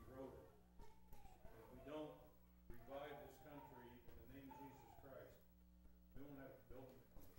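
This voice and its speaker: Echoey, distant man in the pews. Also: medium pitch. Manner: earnest, urgent. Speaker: congregation member. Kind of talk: sharing a prayer concern. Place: church sanctuary, in the pews. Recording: very echoey, far from the mic.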